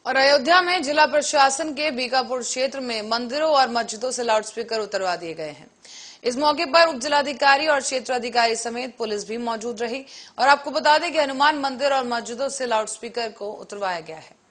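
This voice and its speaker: Lady reading tv news, slightly high voice, anchor style. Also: a little fast, fairly bright tone. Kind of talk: Hindi TV news bulletin reading.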